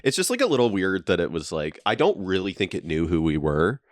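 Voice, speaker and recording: A man's voice: low voice, sing-song, fairly quick, no room sound. A man co-hosting a casual podcast from a friend's basement, talking in a laid-back, self-mocking way with plenty of fillers. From Canada. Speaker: podcast co-host and editor. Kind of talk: casual chat.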